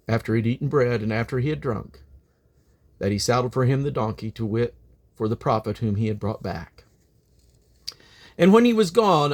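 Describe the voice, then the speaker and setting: A man reading scripture aloud in an emphatic, solemn and deliberate way, as a Bible reader. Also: mid-range voice.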